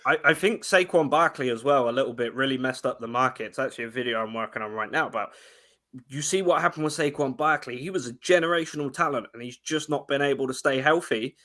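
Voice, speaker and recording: A young man from England, a guest analyst, breaking down football in casual chat, talking fast with frequent restarts. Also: medium pitch, bright, forceful tone.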